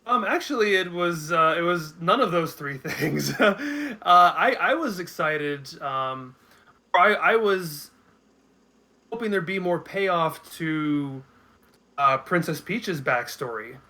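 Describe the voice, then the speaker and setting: A man's voice: on the high side, animated, slow, pushed and bright. A man speaking as a guest commentator in a casual unscripted discussion, thinking aloud with hesitant starts.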